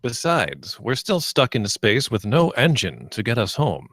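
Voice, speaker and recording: A man's voice: mid-range voice, huge pitch swings, measured pace, a bit bright, dry recording, clean studio sound, exaggerated voice. A man playing a blunt, irritable spaceship captain in a sci-fi comedy table read. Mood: commanding, irritable.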